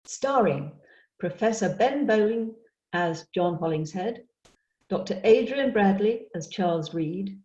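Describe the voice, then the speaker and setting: A woman's voice: medium pitch, expressive, unhurried, mellow, echoey room, highs cut off. A woman reading a scripted cast announcement for a play.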